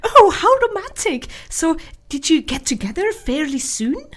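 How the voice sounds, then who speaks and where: A woman playing a gushing, excitable and naive romantic young wife in a radio drama. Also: very high voice, measured pace, full character voice. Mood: curious, gushing.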